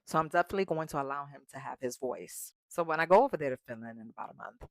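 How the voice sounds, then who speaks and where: A woman's voice: low-pitched, lively intonation, very fast, no echo. A woman ranting in a travel vlog, emphatic and exasperated, swearing freely. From United States. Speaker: vlogger.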